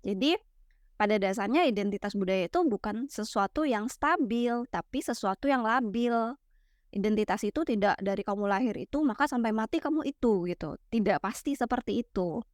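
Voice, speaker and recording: A young woman from West Sumatra explaining ideas in a relaxed, teacherly chat, full of fillers and tag questions. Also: mid-range voice, normal speed, dry recording, studio quality. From West Sumatra, Minangkabau. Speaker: guest explaining literary theory.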